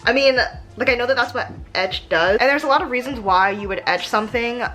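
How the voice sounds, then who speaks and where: A woman's voice: a little high, fairly quick, a bit bright, soft background music, low-quality recording. A young American woman in her twenties talking casually as a vlog host, chatty and playfully self-mocking, with dramatic emphasis and plenty of tips. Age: 20s. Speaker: YouTube host, dental student.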